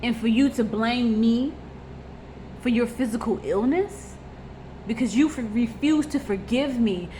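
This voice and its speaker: A woman's voice: fairly high pitch, measured pace, dark tone, slight background noise, rough recording. A woman, talking bluntly and rawly in a personal confessional vlog, repeating herself and using many fillers. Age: 20s. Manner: blunt and raw, vents with frequent fillers and repeats. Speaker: vlogger.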